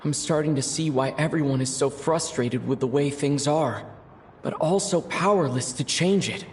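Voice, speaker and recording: An actor voicing a thoughtful young male traveler in a game, speaking in a measured, reflective way. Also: a little high, a little hiss or hum, natural voice. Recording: game dialogue with faint ambience.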